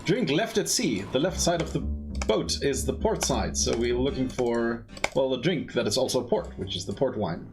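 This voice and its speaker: A man's voice: mid-range voice, expressive, normal speed. A man giving casual, explanatory live commentary as he plays through a game. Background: game music.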